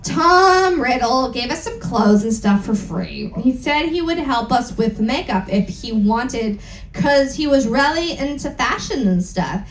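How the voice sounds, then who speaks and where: A woman's voice: high voice, expressive, unhurried. A woman giving a theatrical, exaggerated comic reading of fanfiction to a live audience, with amused asides. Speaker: performer reading aloud to an audience. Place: live event room before an audience. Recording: roomy live venue, echoing.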